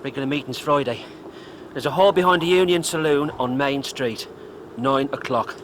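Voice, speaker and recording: An actor playing a blunt, wary lodge member from a rough mining town in a period radio drama, with a folksy, plain-spoken manner. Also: male voice, medium pitch, moderate pace, a bit bright, a little hiss or hum, natural voice. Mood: matter-of-fact.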